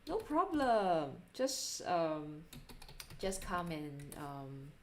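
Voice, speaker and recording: A young woman with a Singaporean accent and a voice a little on the low side. She talks casually to a livestream audience while drawing, with halting, giggly, self-deprecating delivery. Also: very animated, slight background noise. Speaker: art livestreamer.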